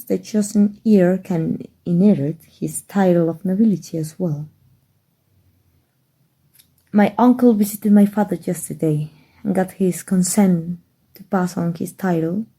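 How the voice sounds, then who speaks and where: A woman playing a kind, playful young princess in love, regally confident, with soft, teasing, courtly phrasing in a romantic ASMR roleplay. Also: mid-range voice, slightly rough. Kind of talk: romantic ASMR roleplay, fairy-tale storybook acting.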